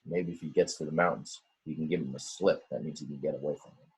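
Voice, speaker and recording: A man reading a children's storybook aloud in a dramatic, expressive way, with simple explanatory asides for young listeners. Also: rumbling low, fast, slightly rough, poor audio. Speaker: teacher reading a picture book aloud.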